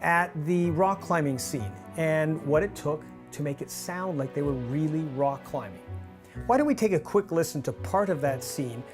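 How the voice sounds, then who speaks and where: A man in his fifties with a North American accent presents a scripted behind-the-scenes explainer in a measured, clear manner. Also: fairly high pitch, expressive, soft background music. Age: 50s. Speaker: radio drama director and presenter. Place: small indoor studio office with monitors. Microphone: lavalier on shirt.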